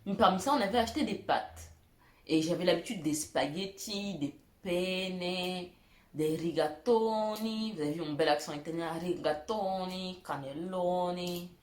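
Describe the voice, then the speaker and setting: A young woman telling funny childhood stories to her viewers, self-mocking, giggling and pausing on fillers. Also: fairly low pitch, reverberant. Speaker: YouTuber. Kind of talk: storytelling vlog.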